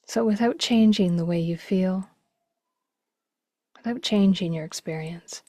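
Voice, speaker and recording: A woman leading a guided meditation slowly, in a gentle, hushed, breathy voice with long pauses. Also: fairly low pitch, no echo. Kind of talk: guided meditation, slow and spacious.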